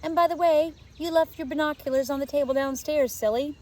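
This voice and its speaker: A woman voicing a prim, indignant little Victorian girl in a storybook, squeaky and scolding. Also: fairly even intonation, a little fast, a little mellow, recorded from a distance, close to an everyday voice. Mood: smug.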